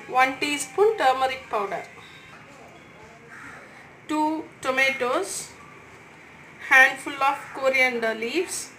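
A woman's voice: sits high, slow, long pauses, sharp tone, soft background music, low-quality recording. A woman, giving step-by-step recipe instructions as a voice-over in a home kitchen. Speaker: cooking channel host.

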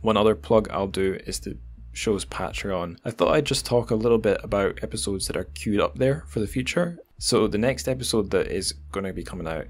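A man hosting a podcast, talking casually in a conversational, self-deprecating way. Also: deep voice. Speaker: podcast host.

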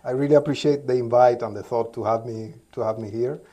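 A man in his forties from Cuba, giving relaxed, thoughtful interview answers, pausing often and restarting as he talks, filmed outdoors on a rooftop terrace on a phone at arm's length. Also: mid-range voice. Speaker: interview guest, DevOps engineer. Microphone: phone held at arm's length.

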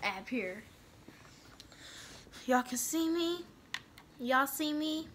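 A woman's voice: sits high, animated, extremely slow, a bit bright, slight background noise. A young woman casually commenting on a video game at home, bickering with her brother and swearing a lot. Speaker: gaming vlogger.

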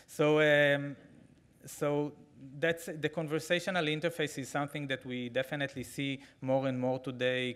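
A man in his forties with an Israeli accent, giving a keynote talk with a live demo from a podium microphone, halting with frequent fillers and restarts. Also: mid-range voice. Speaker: conference keynote speaker. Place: large conference hall, at a podium.

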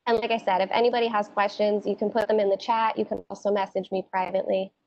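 A woman's voice: medium pitch, level tone, quick pace, a little mellow. A woman moderating a virtual town hall from a home office, reading out student questions and explaining steadily. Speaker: dean and moderator. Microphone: video call on a laptop. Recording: dull, muffled video call.

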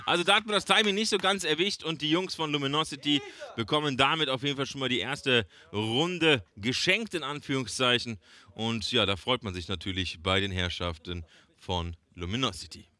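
A man's voice: medium pitch, a little fast, bright, forceful tone. A man casting a game alone as play-by-play commentator, chatty and addressing viewers as friends. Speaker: esports caster. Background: game gunfire and clicks.

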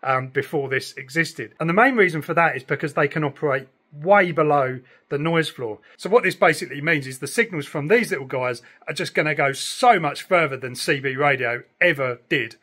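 A man's voice: on the high side, brisk, hardly any pauses, fairly bright tone, breathy edge. A man, chatting enthusiastically and explaining things casually like a hobbyist vlogger. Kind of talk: casual explanatory vlog chat. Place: room in a house.

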